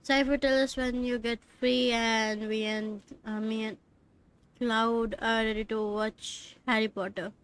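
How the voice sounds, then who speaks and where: A woman's voice: on the high side, level tone, measured pace, clear, resonant tone, poor audio. A woman with an Indian accent giving casual live commentary and muttered reactions as a game streamer.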